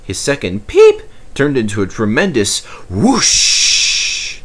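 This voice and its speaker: A man's voice: mid-range voice, huge pitch swings, slow, fairly bright tone. A man narrating a children's storybook expressively as its reader.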